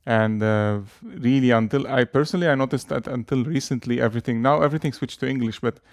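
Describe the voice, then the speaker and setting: A man's voice: medium pitch, fast talker, dry recording, studio quality. Chatty man in his thirties. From Lebanon. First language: Arabic and French. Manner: chatty, hesitant, frequent laughter. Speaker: guest. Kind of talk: relaxed conversational interview.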